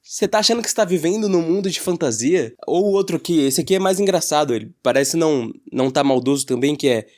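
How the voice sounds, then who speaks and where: Brazilian male YouTuber, slightly high register, informal and slangy, for casual opinion commentary. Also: lively intonation, quick pace, few pauses, darkish tone.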